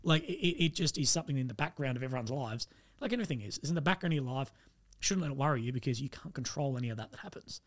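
A man's voice: medium pitch, lively intonation, racing. A man co-hosting a podcast in easygoing, joking chat. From Australia. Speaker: podcast co-host. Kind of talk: casual chat.

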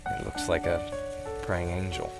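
A man playing a grieving widower and recovering alcoholic in a radio play, stammering and halting with emotion. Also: low-pitched, lively intonation, vocal fry, over loud music, exaggerated voice. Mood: stunned, awed.

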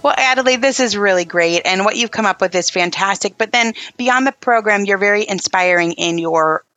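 A woman hosting a casual podcast interview, talkative and effusive, quick to affirm her guest. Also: mid-range voice, pushed and bright, dry recording, faint music behind. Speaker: podcast host.

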